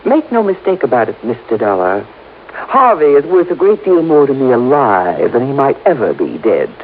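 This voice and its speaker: An actress playing a haughty, domineering wealthy wife with imperious indignation in a melodramatic old radio drama. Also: female voice, rumbling low, big pitch swings, moderate pace. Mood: indignant. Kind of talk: melodramatic 1950s radio acting. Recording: muffled, faintly hissy vintage radio.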